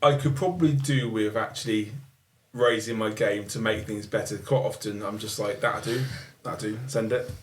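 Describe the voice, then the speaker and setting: A man's voice: fairly low pitch, fairly even intonation, normal speed, hardly any pauses. A man co-hosting a casual podcast chat, easygoing and teasing, calling his friends mate. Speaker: podcast co-host. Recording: echoey room.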